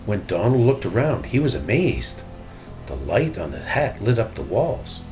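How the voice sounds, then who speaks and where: Guy reading a kids storybook aloud, very lively intonation, music clearly behind him. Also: lowish voice, a little slow, low-quality recording. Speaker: storybook reader. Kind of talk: children's story narration.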